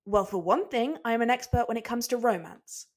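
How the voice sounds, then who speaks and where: A woman's voice: on the high side, fairly quick, voice pushed up, natural voice. Proud young male cook, performed by a woman: theatrical, mocking, easily indignant, sure of his own charm. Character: proud, dramatic male cook, smug romantic.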